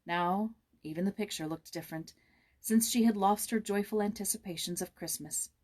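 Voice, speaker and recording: Expressive woman reading a children's story. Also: medium pitch, normal speed. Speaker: audiobook narrator.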